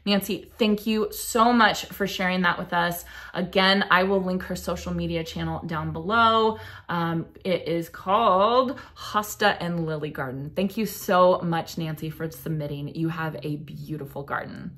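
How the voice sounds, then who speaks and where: Enthusiastic woman host, somewhat low voice. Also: measured pace, a bit bright. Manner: gushing, enthusiastic, exclamations with rising pitch. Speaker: gardening channel host. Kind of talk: casual chat reading viewer submissions. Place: home office, indoors.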